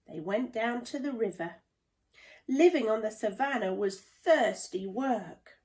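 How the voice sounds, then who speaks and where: Slow, expressive woman storyteller. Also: on the high side. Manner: slow, deliberate, dramatic storytelling. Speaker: play therapist reading a children's book. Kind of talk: expressive children's storybook narration.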